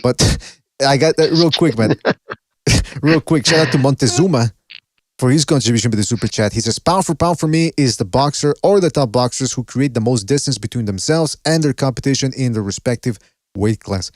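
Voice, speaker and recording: Male podcast host, casual and profane, dry close mic, mid pitch. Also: moderate pace, no room sound. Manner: casual and profane, thinking out loud. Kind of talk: casual boxing podcast chat.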